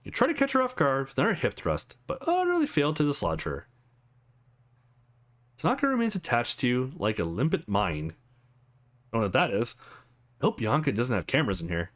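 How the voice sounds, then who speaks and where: Very animated male let's play narrator. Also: mid-range voice, brisk, long pauses. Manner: expressive, acts out the text as he reads. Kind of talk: dramatic read-aloud of a visual novel with offhand commentary.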